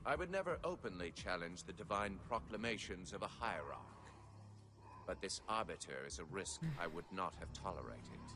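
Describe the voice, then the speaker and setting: Very deep, grave alien prophet. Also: male voice, animated, moderate pace, noisy background. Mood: ominous, distrustful. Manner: formal, ominous, oratorical. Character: grave, distrustful male alien prophet of a religious empire. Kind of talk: dramatic scripted game monologue.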